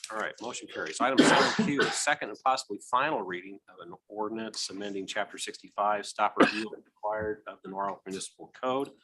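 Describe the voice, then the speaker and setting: A man with a Midwestern American accent chairing a city council meeting, moving briskly through agenda items. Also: lowish voice, noisy background. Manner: brisk, procedural.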